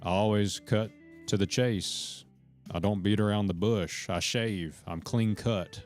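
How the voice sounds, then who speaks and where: A deep, low-voiced man talks loosely to his stream chat, hopping between thoughts and cursing as he goes. Faint guitar music plays behind him. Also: steady tone, measured pace, darkish tone. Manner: rambling, profane, jumps between points; talks to chat members directly. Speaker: live streamer. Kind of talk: rambling live-stream chat to viewers.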